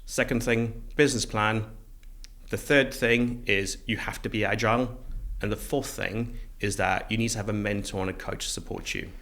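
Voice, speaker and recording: Man, middle-aged, from South Africa, fairly low pitch, conversational interview guest. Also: fairly even intonation, normal speed, fairly bright tone. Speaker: interview guest, recruitment business co-founder.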